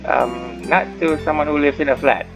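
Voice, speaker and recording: A man's voice: on the high side, fairly even intonation, normal speed, vocal fry. A man giving casual, musing commentary as he plays through a game, half talking to himself. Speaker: gaming video host. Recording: dull, lo-fi mic over game music. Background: faint game music.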